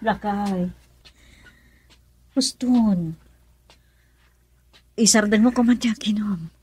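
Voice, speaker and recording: A woman's voice: medium pitch, very slow, darkish tone, slightly rough. An actress with a Filipino accent playing a remorseful middle-aged wife and mother who pleads emotionally in a radio drama. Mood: remorseful pleading. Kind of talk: Ilocano radio drama acting.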